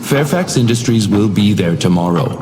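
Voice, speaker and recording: A man's voice: on the low side, expressive, moderate pace, background noise, lightly acted. Man playing a suave, swooping, sinister hotel doorman. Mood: courteous, insinuating. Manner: theatrical, unctuously polite, insinuating. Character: uncanny supernatural hotel doorman, suavely menacing and courteous. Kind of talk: scripted video game character lines. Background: music.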